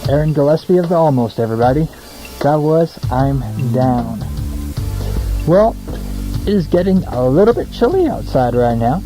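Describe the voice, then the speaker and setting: A man's voice: fairly high pitch, lively intonation, moderate pace, dark tone, faint music behind, poor audio. A young American man hosting a casual radio show, chatty, informal and self-deprecating between songs. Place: small radio studio, alone.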